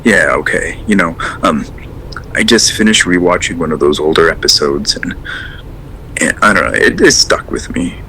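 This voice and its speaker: A man's voice: sits low, expressive, normal speed, bright, forceful tone, a little hiss or hum, exaggerated voice, rough recording. A man acting as a hesitant, rambling caller to a late radio show in an audio drama, earnest and unsettled. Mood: hesitant, nervous. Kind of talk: audio drama, naturalistic phone-in call.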